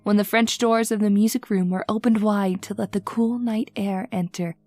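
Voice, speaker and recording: A woman's voice: mid-range voice, steady tone, normal speed, a little mellow, dry recording, soft background music, lightly acted. A woman playing a lonely, romantic twenty-one-year-old daughter in an old-time radio drama, with a wistful, formal manner. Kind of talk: old-time radio horror drama acting, first-person narration.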